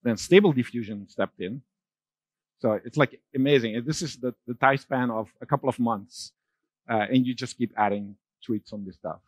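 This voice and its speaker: A man's voice: medium pitch, normal speed, breathy edge, no echo. Man giving a casual keynote on stage. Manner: casual, loose phrasing with fillers and restarts. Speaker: conference keynote speaker. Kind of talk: keynote talk with slides. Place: large dark conference stage.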